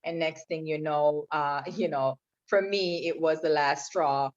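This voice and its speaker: A woman's voice: low voice, steady tone, unhurried. Lady telling her story, earnest and reflective, kinda muffled lo-fi audio. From Jamaica. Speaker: podcast guest. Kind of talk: personal testimony in interview. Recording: dull lo-fi sound.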